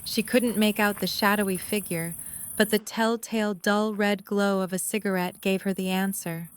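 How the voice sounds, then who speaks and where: A woman's voice: mid-range voice, fairly even intonation, moderate pace, smooth, low-quality recording. A woman reading scripted story narration in the third person.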